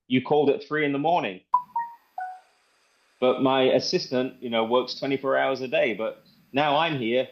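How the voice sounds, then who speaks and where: A man's voice: medium pitch, muffled recording. A man giving a casual, rambling sales presentation, explaining things in a repetitive way. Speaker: presenter demonstrating software.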